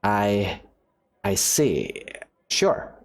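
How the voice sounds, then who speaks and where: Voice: deep voice, wildly swooping pitch, drawn-out words, creaky, heavily acted. A cautious young male student, as a voice actor plays him, hesitant and easily worried. He stammers and questions everything, his voice moving up and down with unease. It sounds like a character from a fan-made visual novel. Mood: wary.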